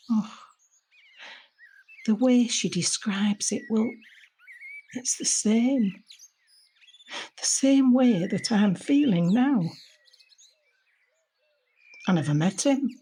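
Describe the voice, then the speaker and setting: An actress playing a lonely, anxious woman in her seventies in an intimate audio drama monologue, husky and confiding, often putting questions to herself. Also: medium pitch, mellow, a little hiss or hum. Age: 70s. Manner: reflective, confiding, with rhetorical questions. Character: lonely, anxious elderly mother shielding at home in lockdown.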